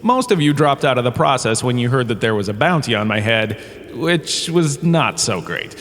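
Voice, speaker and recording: A man's voice: mid-range voice, big pitch swings, normal speed, fairly bright tone. A man playing a washed-up, self-important holodrama star who gives rambling, breezy, self-excusing comedic lectures in an audio drama. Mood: wry, self-satisfied. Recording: cavernous reverb with faint background noise.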